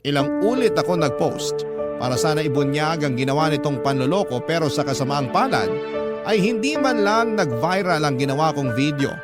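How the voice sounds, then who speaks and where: A man's voice: fairly high pitch, lively intonation, normal speed. A man reading a scripted first-person story as a radio host and narrator. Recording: loud music under the voice.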